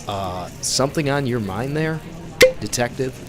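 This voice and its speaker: An actor playing a young, slyly innocent speakeasy owner in a 1920s old-time radio drama. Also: male voice, mid-range voice, expressive, unhurried, background noise, close to an everyday voice. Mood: genial, wry.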